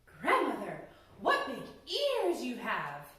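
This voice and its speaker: A woman's voice: on the high side, huge pitch swings, slow, fairly bright tone, heavy reverb, distant mic, heavily acted. A woman voicing a sweet, innocent little village girl from a fairy tale, eager and wide-eyed.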